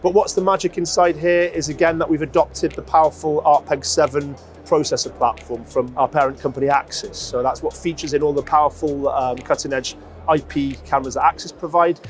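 A man in his forties explaining a product in a measured way, as a company representative interviewed on a lapel mic. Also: on the high side, steady tone, soft background music. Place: busy trade show exhibition hall.

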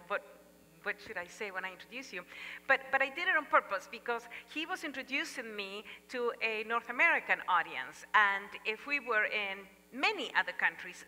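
An older woman with an Argentine Spanish accent gives a lively chapel talk, telling a family story with humorous asides. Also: mid-range voice. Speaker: chapel speaker. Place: large church sanctuary stage. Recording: long reverb of a large hall.

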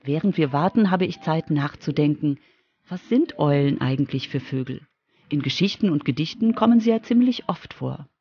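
A woman's voice: low-pitched, animated, moderate pace, slightly rough, dull audio. A woman reporter reading scripted narration for a children's radio feature.

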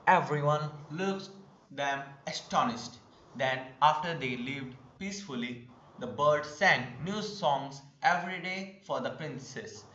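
A man's voice: on the high side, measured pace, pushed and bright. Young Indian male narrator, roomy sound. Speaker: narrator of student skit. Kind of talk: scripted narration. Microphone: video call. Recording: roomy, dull video-call audio.

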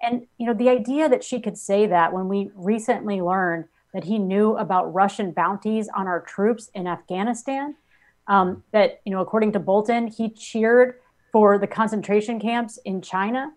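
American woman, 40s, political guest on a video call, earnest, kinda halting, medium pitch. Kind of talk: live political interview commentary. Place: small home office on a video call. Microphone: video call at desk distance.

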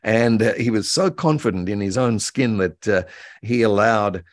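Halting, low-voiced older man. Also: dry recording. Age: around 70. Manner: halting, repeats words as he thinks. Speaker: guest expert, author and voice actor. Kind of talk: reminiscing in a conversational interview. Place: indoor room by a window, video call.

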